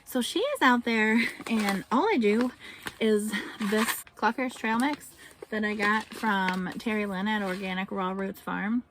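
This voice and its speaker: A woman's voice: mid-range voice, unhurried, noisy background. A woman homesteading vlogger talking casually to camera in a chatty, rambling way. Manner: chatty and rambling, reads out seed names.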